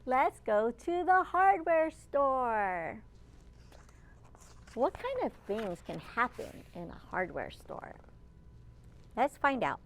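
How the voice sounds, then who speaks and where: Slow, high-voiced woman reading storytime. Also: sing-song, slight background noise. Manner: slow, encouraging, asks listeners simple questions. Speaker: children's librarian leading storytime. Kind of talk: read-aloud storytime for young children.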